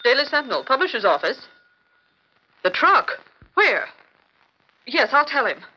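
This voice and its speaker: A woman playing a brisk, wry newspaper secretary in a 1940s radio drama. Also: high voice, sing-song. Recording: dull old radio recording, faint hiss.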